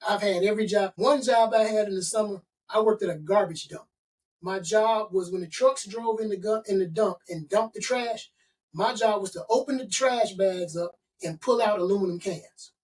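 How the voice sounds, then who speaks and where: A man's voice: high voice, distant mic, low-quality recording. Emphatic middle-aged man, set back from the mic. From Chicago, USA. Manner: emphatic, motivational, speaks in stacked lists. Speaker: guest, consultant and author. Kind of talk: interview conversation.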